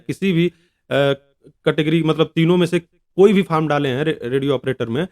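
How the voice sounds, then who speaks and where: A man with a slightly high voice speaks directly to his viewers as an advice-giving host. His delivery is informal and explanatory, and he often circles back to restate a point in other words. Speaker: YouTube exam-advice host.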